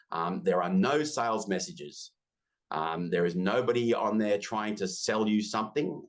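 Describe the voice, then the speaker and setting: A man in his fifties with an Australian accent, chatting freely as an interview guest, self-deprecating and full of asides. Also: sits low. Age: 50s. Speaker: interview guest, business software community founder. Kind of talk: casual interview chat.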